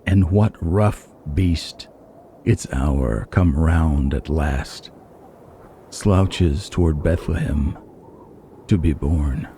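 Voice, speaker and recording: A man performing a dramatic poetry reading as a solemn, ominous reciter, slow and weighty in delivery. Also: a bass voice, warm tone, slight background noise, pitched below the performer's own voice, exaggerated voice. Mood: grave, ominous.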